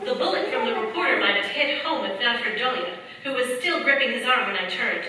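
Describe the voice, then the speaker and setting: Actress as bright-voiced, echoey wartime heroine narrator. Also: female voice, on the high side, lively intonation, fairly quick. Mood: tense, dramatic. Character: earnest wartime heroine narrating her own adventure. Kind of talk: dramatic scripted first-person radio play narration. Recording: echoey stage, distant mic, faint noise.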